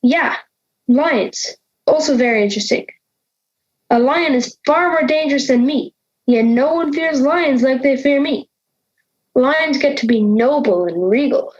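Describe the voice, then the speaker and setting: A woman's voice: sits high, a little slow, dull audio. A young woman playing a misunderstood wolf in an audio drama, plaintive and protesting, hurt at being feared. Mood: grumbling envy.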